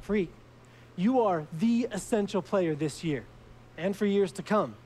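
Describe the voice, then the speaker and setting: A man's voice: high-pitched, lively intonation, measured pace, darkish tone. An actor voicing an intense male college recruiter in a game cutscene, formal, wordy and emphatic as he boasts and promises. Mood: fervent, promising. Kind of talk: scripted game cutscene pitch.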